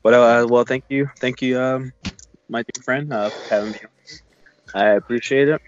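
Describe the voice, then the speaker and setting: A man's voice: mid-range voice, fairly even intonation, normal speed, a little hiss or hum. Man chatting casually, slightly dull recording. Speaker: guest reviewer. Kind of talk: casual chat.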